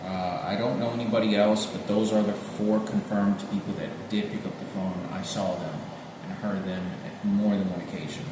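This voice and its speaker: Halting man in a very echoey, noisy room. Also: on the low side, fairly even intonation. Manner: halting, repetitive, searching for names. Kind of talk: rambling personal monologue recalling memories. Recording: very echoey room with noticeable background noise.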